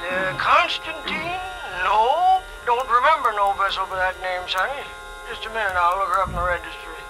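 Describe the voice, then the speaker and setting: Folksy old harbor clerk. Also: male voice, sits high, lively intonation, normal speed, low-quality recording. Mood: crusty, muttering. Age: older. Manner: folksy, muttering to self. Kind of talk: 1940s radio character acting. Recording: vintage 1940s radio recording with music.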